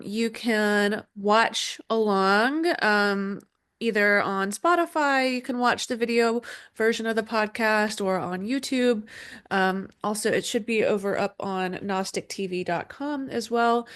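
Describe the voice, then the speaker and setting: A woman's voice: mid-range voice, a bit bright, clean tone. A woman hosting an astrology podcast, speaking loosely with many ums and restarts, a little sniffly and congested from a cold. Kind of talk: casual solo podcast talk. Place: indoor room with dogs. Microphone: desk setup with camera and screen share.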